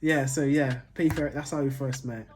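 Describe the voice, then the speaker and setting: A relaxed young man from Jamaica talks easily through a casual Q&A with his partner. He laughs often and lets his sentences fade into mumbles. Light music runs underneath. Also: mid-range voice, normal speed. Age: young adult. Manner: laid-back, laughing, trailing off quietly. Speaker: vlogger, new father. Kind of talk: casual couple's Q&A chat.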